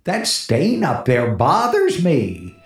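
An actor playing a frightened, lonely disc jockey in 1940s radio horror, stammering nervously and rambling to himself. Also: male voice, a little high, huge pitch swings, slow pace, heavily acted. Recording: echoey vintage 1940s radio recording with music.

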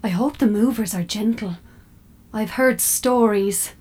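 A woman's voice: medium pitch, a little slow, darkish tone, lightly acted. A woman playing an opinionated, chatty, cheeky talking fridge in an audio drama. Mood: wistful, chatty. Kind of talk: audio drama comic acting.

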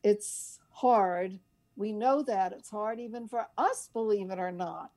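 A woman's voice: medium pitch, unhurried, dark tone. An older woman co-hosting a radio show, chatting and telling stories casually in a rambling, easily sidetracked way. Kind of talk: casual chat and storytelling.

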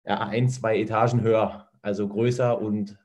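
A man's voice: fairly low pitch, level tone, normal speed, a little mellow, lo-fi sound. A man chatting as a guest, easygoing and hesitant with many fillers. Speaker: guest, ice hockey player.

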